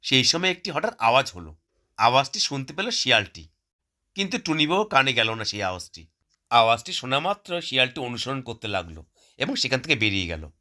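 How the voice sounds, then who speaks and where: A man's voice: mid-range voice, very animated, a little fast, bright, forceful tone. A man narrating a scripted cartoon story as the storyteller.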